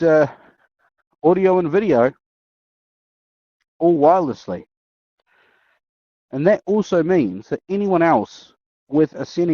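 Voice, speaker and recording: A man talking informally and thinking aloud as he gives a casual hands-on demo and review of gear. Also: medium pitch. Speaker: motorcycle tech reviewer.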